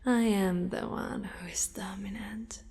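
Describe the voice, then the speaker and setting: A woman voicing a possessive, doting female spider monster in an ASMR roleplay, with formal wording and a hushed delivery that often slips into whispers. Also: mid-range voice, steady tone, slow pace, lightly acted. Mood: possessive, soothing. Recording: long reverberant echo.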